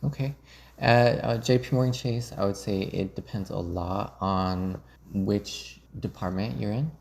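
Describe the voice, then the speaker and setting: Deep creaky guy, measured, chatting as a guest in a casual interview. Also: steady tone, a little hiss or hum. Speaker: interview guest working in finance IT.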